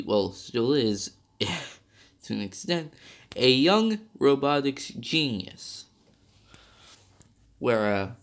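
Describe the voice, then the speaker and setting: Young male game reviewer, mid-pitched, a little nasal from a cold, chatty and full of restarts. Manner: rambling, self-correcting, many fillers. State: partly sick, slightly nasal. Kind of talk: casual video game review.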